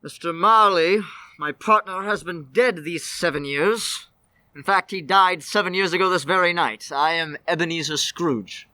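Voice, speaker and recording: A miserly old man acted by a male performer in an old-time radio drama. His high, sharp voice blusters with curt scorn. The sound is close and dry. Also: normal speed, no echo. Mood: stern.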